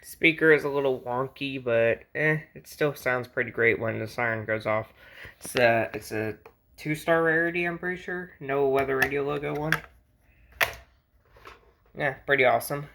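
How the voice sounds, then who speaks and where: A man's voice: mid-range voice, moderate pace, gravelly, noisy background. A man with a mid-range voice hosts a casual hobby show-and-tell. He talks in an informal, rambling way, like someone chatting to his regular viewers. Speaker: host showing a radio collection.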